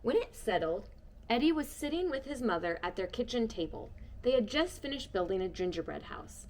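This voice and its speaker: A woman reading a children's storybook aloud, expressive and shifting between hushed and bright tones. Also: on the high side, brisk, slight background noise. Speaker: storybook reader. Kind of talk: children's book read-aloud.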